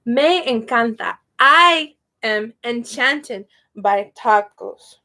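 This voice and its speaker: A woman's voice: a little high, lively intonation, drawn-out words, pushed and bright. A young woman teaching a casual spoken lesson, chatty and prone to tangents, laughing often. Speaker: Spanish teacher.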